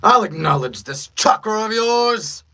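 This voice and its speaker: A man's voice: high-pitched, big pitch swings, unhurried, vocal fry, pushed and bright, pitched above the performer's own voice, exaggerated voice. A man voicing a powerful anime villain who is thrilled by the fight, in a fan dub. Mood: excited menace.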